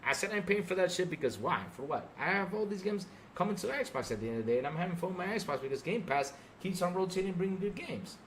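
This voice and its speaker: A man's voice: high-pitched, expressive, fast, few pauses, a little hiss or hum, rough recording. A middle-aged man hosting a podcast, ranting about gaming to a live chat in an emphatic, sarcastic way. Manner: emphatic, sarcastic, mimics fans mockingly. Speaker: podcast host.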